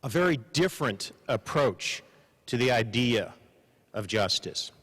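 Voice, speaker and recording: A man moderating a panel, giving formal introductions with dry humor, measured and pausing for emphasis. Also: medium pitch, animated, a bit bright. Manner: measured, slows for emphasis, frequent uh fillers. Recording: long hall reverb.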